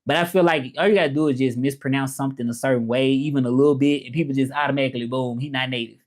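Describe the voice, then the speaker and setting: A man's voice: mid-range voice, fairly even intonation, rapid-fire. A man with a Memphis Southern American accent, chatting informally as a livestream host in a loose, rambling way and repeating himself. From Memphis, southern United States. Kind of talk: casual livestream chat about learning languages, reading comments.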